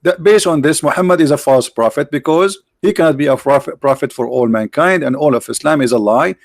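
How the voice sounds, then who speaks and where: A man's voice: fairly high pitch, a little fast, gravelly, no echo, studio quality. A man whose first language is Arabic, hosting a live stream and debating a caller in a pushy, interrupting way, repeating his questions.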